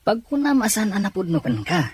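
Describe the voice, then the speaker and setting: An actor playing a blunt, consoling male drinking buddy in a drama, with a husky voice. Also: mid-range voice, sing-song, a little fast, a little hiss or hum, lightly acted. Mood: teasing sympathy. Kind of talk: Ilocano drama acting.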